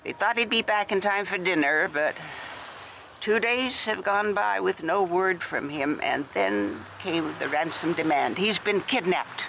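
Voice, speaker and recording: An actress playing a refined, middle-aged wealthy widow in a radio detective drama, with a formal, confiding way of talking. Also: female voice, lowish voice, measured pace, vocal fry, fairly bright tone, slight background noise, rough recording. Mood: anxious. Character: wealthy widow, refined, gracious, worried hostess.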